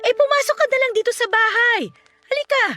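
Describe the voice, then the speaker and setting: A woman playing a sharp-tongued, bossy, gossipy aunt in a Filipino radio drama, exclaiming bluntly with a Filipino accent. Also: very high-pitched, fast talker, soft background music. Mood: coaxing, concerned.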